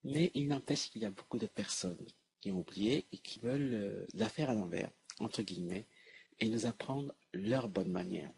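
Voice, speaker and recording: A man's voice: fairly low pitch, normal speed, vocal fry, darkish tone, slight background noise, low-quality recording. A man hosting an educational video, explaining informally to the viewer in a hesitant way, often repeating and correcting himself. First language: French. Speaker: host of an educational video series.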